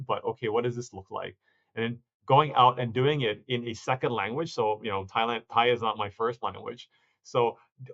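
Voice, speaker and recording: A man, a startup founder, answering interview questions in a reflective, halting way with frequent restarts. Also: mid-range voice, a bit bright, muffled recording. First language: not stated. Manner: reflective, many restarts and self-corrections.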